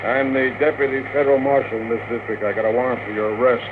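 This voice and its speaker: An actor playing a brusque, plain-spoken deputy federal marshal in a 1950s radio drama, blunt and halting. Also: male voice, mid-range voice, fairly even intonation, natural voice. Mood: officious, offhand. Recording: muffled noisy 1950s radio recording.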